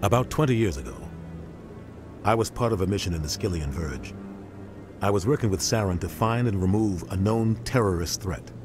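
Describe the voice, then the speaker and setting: A man's voice: low-pitched, expressive, moderate pace. A man playing a middle-aged navy captain in a video game, grave, embittered and emphatic. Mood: somber, bitter. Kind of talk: video game cutscene acting. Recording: music behind game dialogue.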